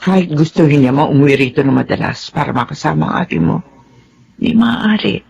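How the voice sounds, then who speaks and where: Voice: medium pitch, lively intonation, a little fast, vocal fry, a little mellow, slightly rough, highs cut off, heavily acted. An actor with a Filipino accent playing a concerned, firm parent in a radio drama.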